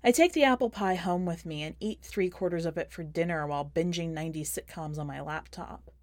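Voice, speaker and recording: A woman reading a first-person short story in a measured, expressive audiobook style. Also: on the low side, no room sound, clean studio sound. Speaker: podcast host and fiction reader.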